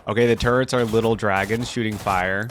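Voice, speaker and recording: A man's voice: on the low side, fairly even intonation, a little fast, noisy background. A young man giving casual running commentary as a reaction host, thinking aloud and breaking off into exclamations. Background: music and game audio.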